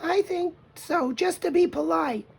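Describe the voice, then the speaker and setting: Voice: very high voice, a little slow, gravelly, pitched above the performer's own voice, full character voice. A narrator voicing an eager little girl bear cub in a children's storybook, childlike and curious.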